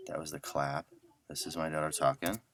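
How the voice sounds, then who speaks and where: Very deep-voiced man teaching casually. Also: level tone, fairly quick, vocal fry, fairly bright tone, slight background noise. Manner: informal, thinking aloud. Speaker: audio software instructor. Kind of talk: casual screen-share tutorial.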